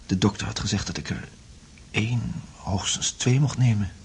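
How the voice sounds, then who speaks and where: A man's voice: fairly low pitch, normal speed, close to an everyday voice. An actor in a Dutch radio play playing a depressed, sleepless, recently separated man who speaks hesitantly. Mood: groggy, troubled. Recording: noisy old radio drama recording.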